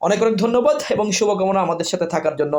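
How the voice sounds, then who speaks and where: Male Bangladeshi product seller, higher-pitched voice, quick delivery, insistent demo pitch with list-like phrasing. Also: expressive, darkish tone. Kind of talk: informal product demonstration sales pitch.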